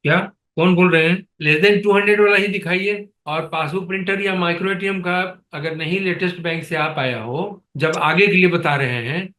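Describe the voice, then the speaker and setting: Man, slightly high voice, authoritative meeting chair, admonishing, addressing staff on a call. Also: moderate pace, hardly any pauses, bright, forceful tone, a little hiss or hum. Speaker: meeting chair, foundation leader. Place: online conference call.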